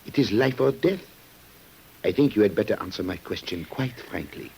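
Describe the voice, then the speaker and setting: Suspicious French commissioner, lowish voice, courteous yet commanding, vintage radio drama, hissy old recording. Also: male voice, lively intonation, moderate pace, vocal fry, darkish tone, rough recording. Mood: probing, firm. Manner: formal, probing, authoritative. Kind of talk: 1950s radio drama acting.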